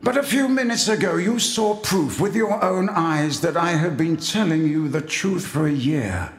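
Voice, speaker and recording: A calm, commanding old wizard, put on by a male narrator. He speaks slowly and gravely in a raised, lively voice. A roomy echo surrounds him. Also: fairly high pitch, faint music behind, pitched above the performer's own voice. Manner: measured, grave.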